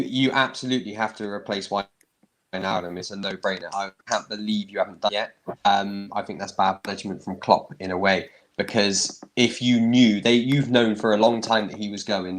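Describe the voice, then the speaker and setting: A man's voice: lowish voice, steady tone, fairly quick, slight background noise, rough recording. A man giving blunt, opinionated views as a guest on a casual football panel. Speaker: guest panelist, Spurs fan.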